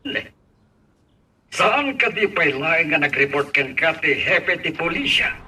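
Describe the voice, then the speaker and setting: A man playing a sharp, insistent character in an Ilocano radio drama. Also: on the high side, expressive, measured pace, heavily acted. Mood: tense. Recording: distant, faintly noisy sound.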